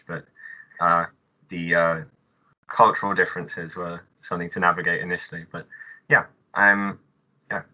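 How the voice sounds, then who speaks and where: A man in his twenties introducing himself as a student candidate, hesitant and halting. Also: sits low, dull audio. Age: 20s. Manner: hesitant, with many fillers and restarts. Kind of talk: casual self-introduction.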